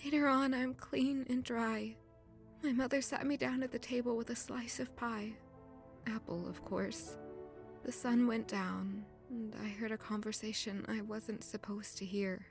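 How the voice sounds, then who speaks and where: An actress playing a grieving, self-blaming young servant woman in an emotive, halting audio drama. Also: on the high side, fairly even intonation, gravelly. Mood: wistful narration. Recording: music under the voice. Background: music.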